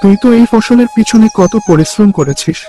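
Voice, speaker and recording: A man's voice: high voice, expressive, fairly quick, warm tone, faint music behind. A man voicing a cheerful, encouraging young farmer in a cartoon. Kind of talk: Bengali cartoon story voice acting.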